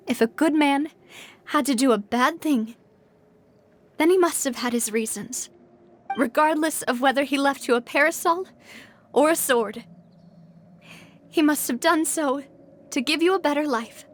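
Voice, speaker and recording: A woman's voice: high voice, measured pace, long pauses. An actress playing a determined, kind young female boss, composed and articulate, in a video game cutscene. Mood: gentle, reassuring.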